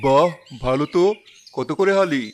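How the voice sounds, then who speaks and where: A man voicing a gentle well-off father. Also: a little high, normal speed, close to an everyday voice. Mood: calm. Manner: gentle, soft-spoken. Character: calm kind well-off father. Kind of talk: cartoon voice acting.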